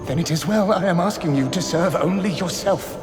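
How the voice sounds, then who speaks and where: An actor voicing an earnest captive artist in a video game, well-spoken, florid and persuasive. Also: male voice, high-pitched, fairly quick, noisy background, lightly acted. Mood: pleading, persuasive. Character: earnest, eloquent captive male artist pleading for rescue. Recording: echoey cavern reverb.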